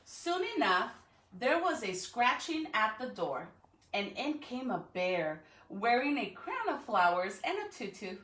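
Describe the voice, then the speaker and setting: School lady talking to little kids, expressive and bright, kinda slow, echoey room. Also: on the high side. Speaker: school educator reading aloud to students. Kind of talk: storybook read-aloud and greetings to young students.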